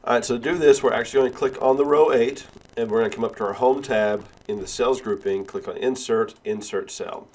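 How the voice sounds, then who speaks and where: A male instructor with a cold and sniffles, talking step by step through a software tutorial with frequent "uh" and "all right.". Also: medium pitch, steady tone, brisk. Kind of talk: screen-recorded software tutorial.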